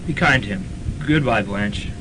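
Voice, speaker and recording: A man playing an earnest, troubled college ghost investigator with halting, stammering delivery in a student radio drama. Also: fairly low pitch, expressive, lightly acted. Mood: troubled, regretful. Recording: slightly noisy old radio tape.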